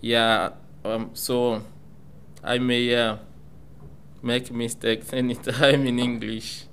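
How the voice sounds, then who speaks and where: A man who is a migrant learning English speaks in halting, simple sentences full of fillers about his new life. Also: mid-range voice, little pitch movement, a bit bright, a little hiss or hum. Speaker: migrant English student on community radio. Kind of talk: personal talk.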